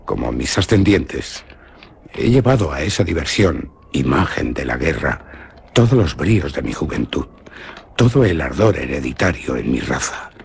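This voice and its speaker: Brief: a young noble hunter from Spain, boastful yet frightened, low, very expressive radio drama delivery. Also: male voice, vocal fry, slightly rough, exaggerated voice. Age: young adult. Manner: dramatic, swings from boastful to fearful.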